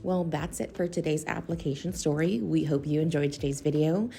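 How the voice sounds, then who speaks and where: A woman reading a scripted corporate explainer in a measured, instructional way. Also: sits low, steady tone, vocal fry, mellow. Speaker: narrator of a corporate product video.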